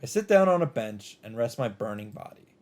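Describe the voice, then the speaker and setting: A man reading a visual novel script aloud in an expressive narrating style. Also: mid-range voice, fairly quick. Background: game music.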